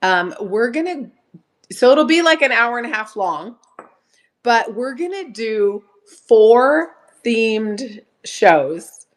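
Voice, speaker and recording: Woman, slightly hoarse, unhurried, chatty live-stream host, greets viewers. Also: mid-range voice. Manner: chatty, greets viewers by name, laughs often. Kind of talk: casual live-stream chat.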